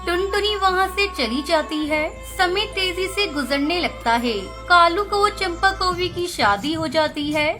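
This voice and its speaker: A woman tells a children's cartoon story in a plain, steady narrating style. Her voice sits very high, and music plays clearly beneath her. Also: a little slow, few pauses. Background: background music.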